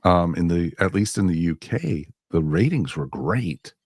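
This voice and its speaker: A man's voice: sits low, lively intonation, unhurried, darkish tone, breathy edge, no room sound, studio quality. A man hosting a podcast in a casual, enthusiastic and agreeable way. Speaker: podcast host.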